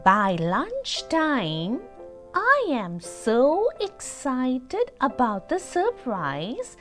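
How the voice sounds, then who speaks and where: A woman voicing an excitable, confident little girl who proudly and dramatically tells her own storybook tale. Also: sits high, pitched above the performer's own voice, full character voice. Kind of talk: storybook read-aloud.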